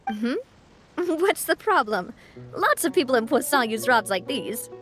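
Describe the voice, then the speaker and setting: A woman voicing a cheerful, sincere young woman leader in a video game, speaking in a gentle, earnest, measured way. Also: high voice, very animated. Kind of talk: animated video game voice acting. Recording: soft music behind the voice. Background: soft game music.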